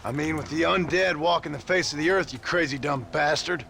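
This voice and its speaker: An actor playing a gruff, sardonic, short-tempered gunslinger in a western video game, terse and blunt in manner. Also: male voice, fairly high pitch, brisk, bright, forceful tone, a little hiss or hum. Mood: exasperated. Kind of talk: dramatic video game cutscene acting.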